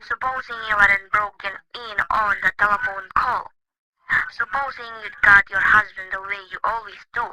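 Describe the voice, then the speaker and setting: An actor playing a weary, patient desk police sergeant who talks in a calm, placating, slightly patronizing way in an amateur radio play. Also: male voice, high voice, moderate pace, a loud crowd, close to an everyday voice, rough recording.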